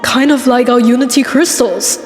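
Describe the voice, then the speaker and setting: Cartoon pony princess played by a woman: young, highish, casual, skeptical, questioning, reverberant with faint music. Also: normal speed, close to an everyday voice. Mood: suspicious. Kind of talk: cartoon comic dub. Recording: reverberant hall sound, music behind.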